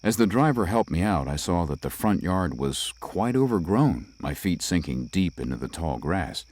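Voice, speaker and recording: A man voicing a young, composed city lawyer who narrates his own ghost story in a measured, slightly formal way. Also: sits low, sing-song, darkish tone. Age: young adult. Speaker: narrator and lead. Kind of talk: first-person audio drama narration.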